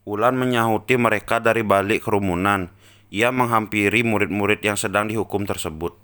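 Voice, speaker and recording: A man's voice: on the low side, fairly even intonation, a little fast, a bit bright, smooth. A man narrating a scripted story. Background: music behind the voice.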